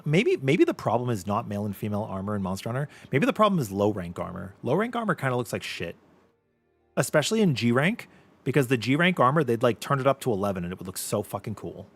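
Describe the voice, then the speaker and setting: A man with a light voice, often taken for a girl's, chatting casually with viewers as a VTuber streamer, animated, with quick laughs. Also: mid-range voice. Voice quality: light voice he says is mistaken for a girl's. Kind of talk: casual livestream chat with viewers.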